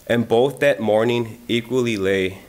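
A man's voice: medium pitch, unhurried, darkish tone. A man in his thirties, a community member at a library event, talking earnestly about a poem with frequent fillers and then reading it aloud at a lectern. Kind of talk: personal reflection and poetry reading at a library event. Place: library meeting room. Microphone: lectern microphone.

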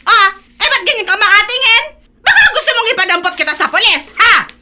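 An actress playing a shrill, scolding market vendor who shoos people away in a radio drama. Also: female voice, lively intonation, brisk, pushed and bright, exaggerated voice. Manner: shrill, shooing, mocking.

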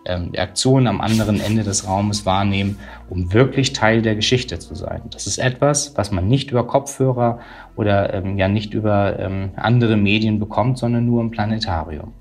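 Guy in his 30s explaining stuff on a video call, lowish voice. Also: moderate pace. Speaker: planetarium representative. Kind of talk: interview explanation.

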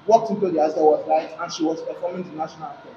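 A man's voice: on the high side, steady tone, very fast, highs cut off. A young man with a Nigerian accent in a casual debate, insistent and opinionated. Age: young adult. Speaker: participant in a music debate. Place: indoor gym, medium-sized room. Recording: echoey, noisy gym room pickup.

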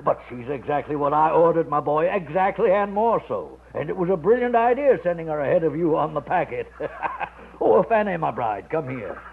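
Hearty old colonel on crackly old radio. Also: male voice, a little high, sing-song, normal speed, gravelly. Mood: jovial. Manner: hearty, avuncular, chuckling. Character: jovial, hearty old colonel, newly married. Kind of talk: 1950s radio drama acting. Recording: narrow-band old radio recording with faint noise.

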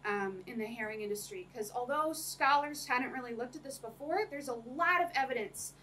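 A woman's voice: on the high side, normal speed, sharp tone. Chatty woman lecturing from across a room. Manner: chatty, many ums, laughs often. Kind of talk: academic talk to an audience. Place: small room with projector screen. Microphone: camera across the room. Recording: distant room mic, faint noise.

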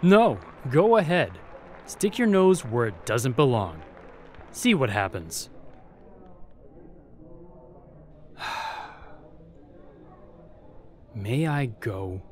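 Man acting a slow, bitter young court spy. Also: mid-range voice, very animated, a little mellow, slight background noise. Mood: exasperated, resentful. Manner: sardonic, bitter, guarded, with pauses. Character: jaded, defiant young male spy serving at a royal court. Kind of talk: audio roleplay acting.

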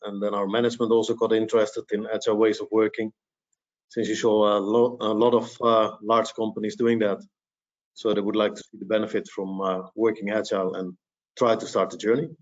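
A man presenting in an online webinar, speaking in a measured way with fillers, over a headset on a video call. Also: on the low side, little pitch movement. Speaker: presenter, bank employee. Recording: dull, lo-fi video call audio.

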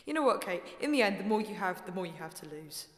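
An actress playing a bitter, cryptic sister with a dark secret, brooding and accusing, in a radio play. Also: female voice, mid-range voice, animated, fast talker, lightly acted. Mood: brooding, awed. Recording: echoey, long reverberant space.

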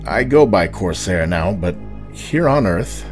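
A man playing a remorseful middle-aged space pirate, earnest and halting, in an audio drama. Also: low-pitched, lively intonation, faint music behind.

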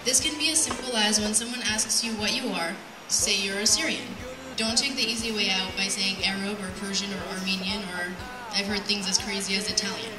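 A woman's voice: medium pitch, steady tone, a little fast, hardly any pauses. Young woman speaking in a roomy hall. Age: young adult. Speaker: speaker at a community event. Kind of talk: prepared public speech. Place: event hall, at a podium. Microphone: handheld mic on PA, picked up by distant camera. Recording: roomy, distant sound with music.

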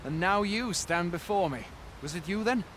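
A man's voice: sits high, expressive, normal speed, fairly bright tone, a little hiss or hum, rough recording. A man playing an armored soldier, wary but helpful, in a fantasy game with archaic, theatrical phrasing. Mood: alarmed, questioning.

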